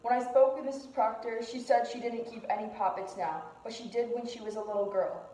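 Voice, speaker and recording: A woman's voice: fairly high pitch, steady tone, a little fast, dark tone, a little hiss or hum, natural voice. A woman playing a defiant husband who argues sardonically for his accused wife in an amateur puppet-show drama. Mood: argumentative. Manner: argumentative, sardonic. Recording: echoey room.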